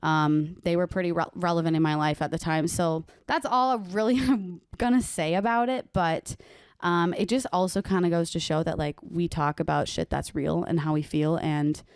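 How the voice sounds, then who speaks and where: A young woman talks frankly on a podcast, cursing casually and laughing between lines. Her voice is a touch low for a woman, and the recording is dry and close, with no room around it. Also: normal speed, a little mellow, clear, resonant tone, dry recording. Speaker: podcast co-host. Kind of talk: casual chat.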